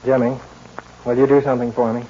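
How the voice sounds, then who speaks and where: An actor playing a fearless, blunt young cowboy in an old-time radio western, firm and coolly defiant. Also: male voice, medium pitch, little pitch movement, fast, warm tone, a little hiss or hum, lightly acted. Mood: grim, resolute. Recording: muffled vintage radio recording.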